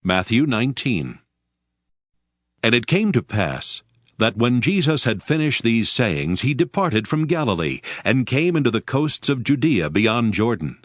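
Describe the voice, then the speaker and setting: A man's voice: medium pitch, big pitch swings, measured pace, dry recording, studio quality. A man narrating scripture from a script as the narrator of a dramatized reading.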